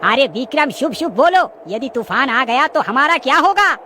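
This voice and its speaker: A woman's voice: high voice, lively intonation, normal speed, sharp tone, a little hiss or hum, voice pushed up. A woman voicing an anxious male merchant in a fretful, pleading manner. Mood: alarmed. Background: clear background music.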